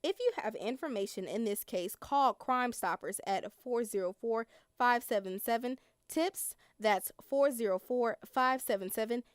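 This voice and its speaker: A woman's voice: on the high side, a little slow, clear, resonant tone, no echo, studio quality. A woman with an American accent reads scripted narration as a television news reporter.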